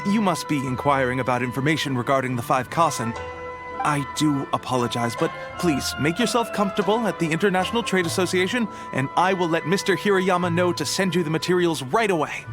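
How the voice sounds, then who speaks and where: A man's voice: fairly high pitch, a little fast, lightly acted. A man voicing a polite, apologetic male editor in a video game. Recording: game audio with music behind.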